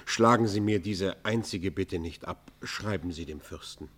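An actor playing a proud, remorseful robber pleading for mercy in a radio play, with formal, declamatory delivery. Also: male voice, low voice, measured pace, a bit bright, breathy edge, heavily acted. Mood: urgent pleading. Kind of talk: German radio play, classical literary acting.

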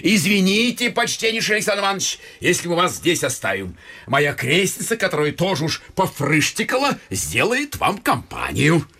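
A man's voice: high-pitched, wildly swooping pitch, normal speed, sharp tone, breathy edge, exaggerated voice. An actor playing a jovial, meddling provincial godfather in a radio comedy, chummy and full of repeated exclamations. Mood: genial. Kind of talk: theatrical radio comedy acting.

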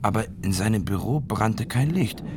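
An actor playing a shaken male academic who soberly recalls being a bombing victim in a measured radio drama monologue. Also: on the low side, expressive, background noise. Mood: controlled shock.

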